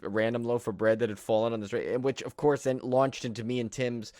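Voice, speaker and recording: A man's voice: medium pitch, level tone, fast, darkish tone, no room sound. Dry-sounding male podcast co-host. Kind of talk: casual podcast chat.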